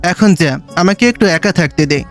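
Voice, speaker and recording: High-voiced stern old grandfather played by a man. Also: expressive, moderate pace, soft background music. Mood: brooding, scheming. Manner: commanding, probing, speaks down familiarly to youngsters. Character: stern, shrewd wealthy old grandfather testing his grandson-in-law. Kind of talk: audio story acting.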